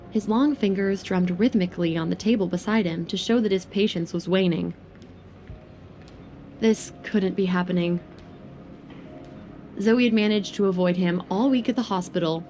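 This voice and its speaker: A woman's voice: medium pitch, moderate pace, dark tone, soft background music. A woman narrating a scripted romance drama as its storyteller.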